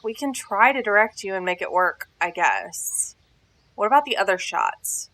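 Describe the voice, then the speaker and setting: A woman's voice: medium pitch, animated, moderate pace, fairly bright tone, close to an everyday voice. A woman playing a blunt, bossy teenage girl in an audio drama, speaking in a brusque, slangy, impatient way. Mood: critical.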